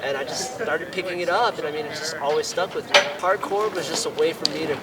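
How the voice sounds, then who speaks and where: A man's voice: on the high side, fast talker. A nineteen-year-old man talking casually about parkour in an interview, rambling with lots of "I mean" fillers, recorded outdoors on a campus. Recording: distant mic, busy outdoor noise.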